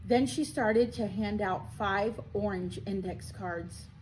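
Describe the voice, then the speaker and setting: Slow-paced woman reading a children's story. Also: medium pitch, darkish tone, slight background noise, poor audio. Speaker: school media specialist reading aloud. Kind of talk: expressive children's story read-aloud.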